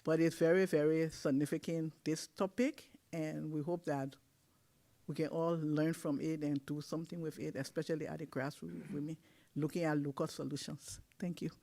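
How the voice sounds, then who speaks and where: Man with a slightly high voice. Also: little pitch movement, normal speed, vocal fry, dark tone. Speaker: panelist. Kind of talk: panel discussion remarks.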